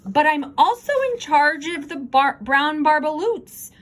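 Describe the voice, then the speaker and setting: A woman reading a storybook aloud, voicing a bossy, indignant little old male forest creature with a sharp, emphatic delivery. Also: high voice, lively intonation, unhurried, pitched above the performer's own voice. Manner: bossy, emphatic, pleading outbursts.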